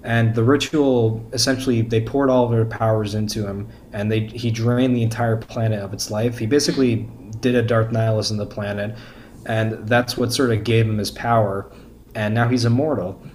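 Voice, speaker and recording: A man's voice: fairly low pitch, steady tone, moderate pace, a little mellow, slight background noise. A man in a casual podcast discussion, stammering and repeating words as he searches for his phrasing. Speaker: podcast guest or panelist discussing a book.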